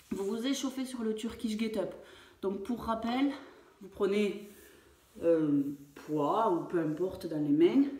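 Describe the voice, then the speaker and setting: A French fitness coach talking through a home workout, with many hesitations and fillers. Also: female voice, medium pitch, dark tone. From France. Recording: echoey room, voice far from mic.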